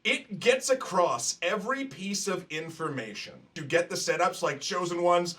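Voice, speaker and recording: A man's voice: sits high, normal speed, fairly bright tone. A man guesting on a podcast remotely from his apartment, chatting casually with an enthusiastic, jokey manner. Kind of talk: casual chat. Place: at home in an apartment. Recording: remote call, distant from the mic.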